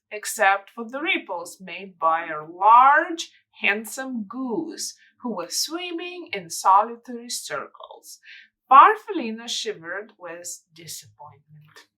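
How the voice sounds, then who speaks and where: A woman narrating a children's storybook as a storyteller, with deliberate, expressive phrasing in short pieces. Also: a little high, rough recording.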